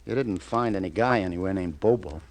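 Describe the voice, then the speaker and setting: Halting, low-voiced radio police detective. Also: male voice. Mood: businesslike. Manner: hesitant, stammering, halting. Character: awkward, apologetic police detective lieutenant breaking bad news. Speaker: radio drama actor. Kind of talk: 1950s radio crime drama acting.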